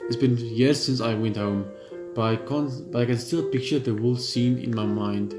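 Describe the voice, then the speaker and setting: Male game reader, calm reflective narration, mid-pitched, roomy sound with a music bed. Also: normal speed. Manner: steady, reflective reading with small slips and restarts. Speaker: gamer reading a visual novel aloud. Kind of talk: first-person story narration.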